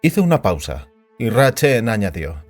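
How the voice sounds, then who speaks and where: A male narrator with a fairly low voice reads scripted fiction dramatically. His intonation is very animated. Soft music runs behind him. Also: normal speed.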